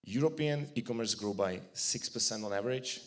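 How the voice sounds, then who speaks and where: A man's voice: mid-range voice, brisk. Czech-accented man giving a casual conference talk. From Czech Republic. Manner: casual, joking, blunt speech with restarts. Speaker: conference speaker. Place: conference hall.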